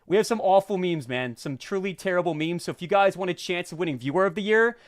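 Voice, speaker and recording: A young man with a stuffy, sniffly voice, hosting a casual live stream and greeting viewers in rapid-fire hype. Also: high voice. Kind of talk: casual livestream chat.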